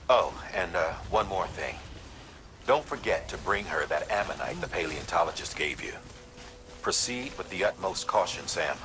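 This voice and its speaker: A stern game commander, really low voice, noisy ambience behind. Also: male voice. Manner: grave, deliberate, commanding. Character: stern male commander giving careful orders. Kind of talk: video game cutscene dialogue.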